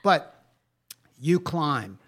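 A man's voice: fairly high pitch, lively intonation, drawn-out words, fairly bright tone. A man co-hosting a podcast in casual, bantering conversation, with an enthusiastic, insistent way of talking. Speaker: podcast co-host.

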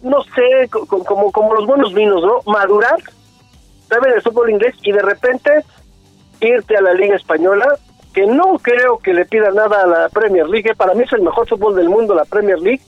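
Mexican male sports commentator, 40s, high voice, chatty, slightly muffled radio audio. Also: no echo. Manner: chatty, rambling with restarts. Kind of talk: casual radio sports chat.

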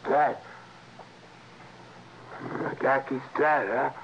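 An actor playing a stubborn, rattled small-time ex-convict in a 1960s radio drama, defensive and stammering, with rough streetwise grammar. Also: male voice, medium pitch, drawn-out words. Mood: defiant. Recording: dull, noisy vintage radio recording.

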